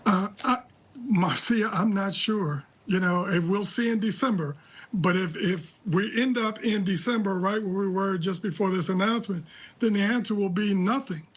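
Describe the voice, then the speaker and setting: A man with an American accent giving live political analysis in a measured, deliberate, critical way. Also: high-pitched. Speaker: political analyst, interview guest. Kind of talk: live news commentary.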